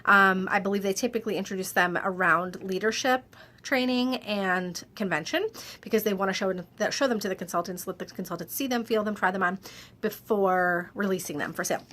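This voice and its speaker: A woman with a stuffy, slightly nasal voice, reading out viewer questions and answering them in a chatty video, often pausing with "um". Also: medium pitch, bright, forceful tone. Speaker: direct-sales clothing consultant. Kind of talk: casual Q&A vlog.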